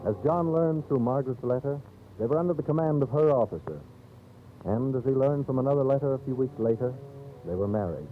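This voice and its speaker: Vintage 1930s radio narrator, thin band-limited sound. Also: male voice, medium pitch. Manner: solemn, theatrical, measured storyteller delivery. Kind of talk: 1930s dramatic radio storytelling, scripted narration. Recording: old scratchy 1930s radio, narrow phone-like band. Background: dramatic background music.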